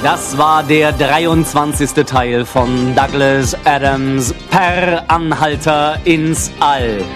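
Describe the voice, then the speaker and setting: Music plays behind a man announcing radio play credits. His voice is a little high for a man. He reads the script slowly, as an announcer. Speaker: announcer reading closing credits.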